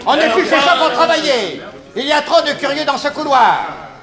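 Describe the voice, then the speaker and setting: An actor playing a pompous, slow-witted police inspector who blusters and gets flustered in a 1960s French radio crime drama. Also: male voice, very high voice, sing-song, crowd noise. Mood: exasperated, shrill.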